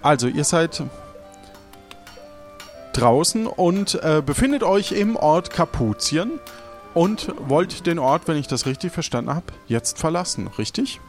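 A man with a slightly high voice improvises an audio adventure in a casual way. He goes slowly, halting to search for words. Music plays underneath. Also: very animated. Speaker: game master and player.

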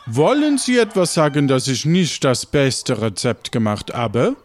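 A man's voice: mid-range voice, sing-song, measured pace. A man playing a proud, haggling cook and merchant, pompous and sprinkling in French words. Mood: proud, offended.

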